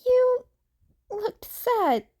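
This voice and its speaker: A woman's voice: very high voice, sing-song, extremely slow, darkish tone, voice pushed up, full character voice. A woman voicing a cheerful, nervous, chatty teenage boy hero student who rambles hesitantly, in a fan fiction audiobook. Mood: awkward, chatty. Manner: hesitant, rambling, eager to befriend.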